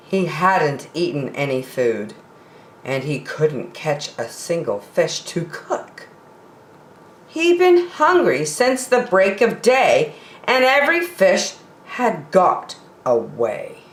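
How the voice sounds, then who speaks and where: A woman reads a picture book to small children at an unhurried pace. Her voice sits a little low and swings widely in expression. The room adds a clear echo. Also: wildly swooping pitch. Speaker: storyteller reading picture books. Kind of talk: expressive read-aloud for young children. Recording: roomy home recording with echo.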